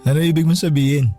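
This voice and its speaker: A man playing a humbled older brother, a former tough guy, speaking casually and with gruff sincerity in a radio drama. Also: fairly high pitch, darkish tone, natural voice. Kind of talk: radio drama dialogue, slangy and conversational. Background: background music.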